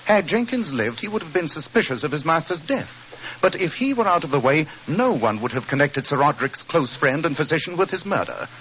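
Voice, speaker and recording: A man acting as an incisive British detective from a 1940s radio play. His fairly high voice swings expressively while staying precise and superior. The old recording is dull with some noise. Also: animated, fairly quick, natural voice. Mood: assured. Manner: precise, clipped, authoritative.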